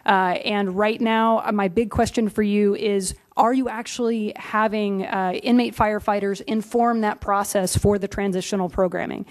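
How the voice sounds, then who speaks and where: Woman, public comment, earnest, frequent pauses, podium microphone, meeting hall. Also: mid-range voice, fairly even intonation.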